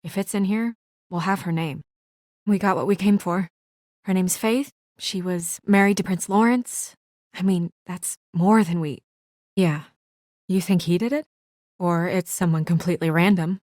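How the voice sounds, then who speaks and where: A woman's voice: fairly low pitch, a little slow, a little mellow, no room sound, clean studio sound, lightly acted. A woman voicing an anxious, businesslike young female official in a video game, speaking in an earnest, guarded way with naturalistic delivery. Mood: tense, matter-of-fact.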